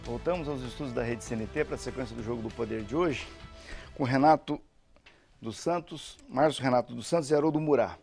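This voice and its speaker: Male TV host, gravelly, anecdotal and chatty. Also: medium pitch, fairly quick, a little mellow, soft background music. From Curitiba, Brazil. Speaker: TV talk show host, lawyer. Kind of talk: talk show hosting. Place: TV studio.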